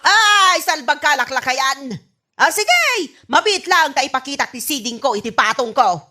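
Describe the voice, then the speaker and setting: Woman playing a shrill, scolding Ilocano wife. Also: big pitch swings, a little slow, pushed and bright, slight background noise, heavily acted. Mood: indignant. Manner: scolding, indignant. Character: nagging, exasperated wife of a drunkard. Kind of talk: broad comedy radio drama acting.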